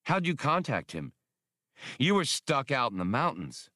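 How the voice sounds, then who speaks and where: A man's voice: medium pitch, wildly swooping pitch, unhurried, sharp tone, dry recording, studio quality, voice pushed up, heavily acted. A man voicing a brash, skeptical smuggler hero in an audiobook, with a blunt, challenging way of talking. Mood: suspicious.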